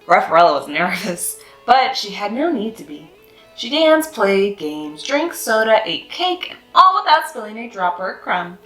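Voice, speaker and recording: A woman reading a children's picture book aloud in an expressive, sing-song way. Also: medium pitch, normal speed. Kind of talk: storybook read-aloud. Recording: echoey room with faint music.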